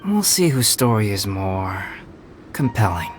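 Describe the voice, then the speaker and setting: A man playing a calm, scholarly young alchemist in a video game, speaking in a measured, formal way. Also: lowish voice. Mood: composed, courteous. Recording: loud music behind the voice.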